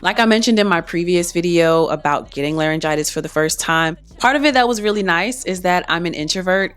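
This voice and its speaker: A young woman talks casually and reflectively to her camera, her voice sitting low and soft. She is still recovering from laryngitis. Faint music plays beneath her. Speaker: singer and vlogger. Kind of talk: casual talking-to-camera vlog.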